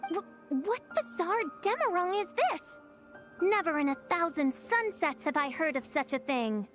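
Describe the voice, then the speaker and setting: A woman's voice: very high-pitched, very animated, unhurried, a bit bright, heavily acted. A young woman voicing a theatrical, grandiose young lady who turns shy around her idol. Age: young adult. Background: game music.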